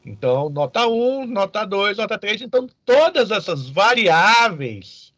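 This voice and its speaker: A man's voice: high-pitched, big pitch swings, unhurried, a bit bright, dry recording. A Brazilian man giving an informal, didactic lecture as a programming teacher, often checking in with short questions to his students.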